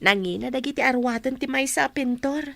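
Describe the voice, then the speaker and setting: High-voiced, modest young painter. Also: female voice, fairly quick, gravelly, a bit bright, dry recording. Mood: shy gratitude. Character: modest, polite pregnant young woman painter. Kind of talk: radio drama acting.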